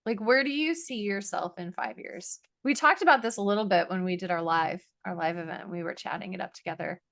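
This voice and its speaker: A woman's voice: mid-range voice, fairly quick, gravelly, fairly bright tone, dry recording, clean studio sound. A woman co-hosting a podcast, speaking in an earnest, affirming, coaching way with many "like"s. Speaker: podcast co-host. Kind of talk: casual podcast chat.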